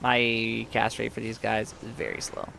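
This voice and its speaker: A man's voice: lowish voice, monotone, normal speed, vocal fry, sharp tone, slight background noise. A man streaming a game live, chatting casually with viewers while his sore throat leaves his voice fading. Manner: chatty, reads and answers viewers.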